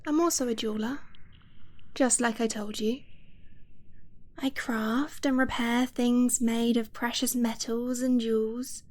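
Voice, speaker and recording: Slow, soft, halting girlfriend confiding , acted by a woman. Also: on the high side, steady tone, close to an everyday voice. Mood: earnest, intimate. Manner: soft, halting, intimate, often dropping to a whisper. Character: affectionate, earnest dragon-blooded girlfriend confessing a secret. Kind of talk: ASMR romance roleplay.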